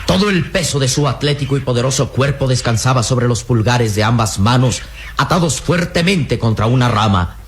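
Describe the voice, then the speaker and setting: A man's voice: medium pitch, lively intonation, normal speed, slight background noise. A man narrating a radio drama in a dramatic scripted style.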